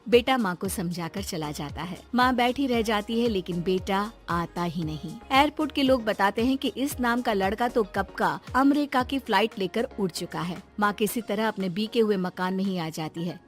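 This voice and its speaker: एक महिला, नाटकीय अंदाज़ में लिखी हुई कहानी सुनाती कथावाचिका।. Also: female voice, mid-range voice, normal speed, few pauses, faint music behind. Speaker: storyteller. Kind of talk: scripted dramatic narration.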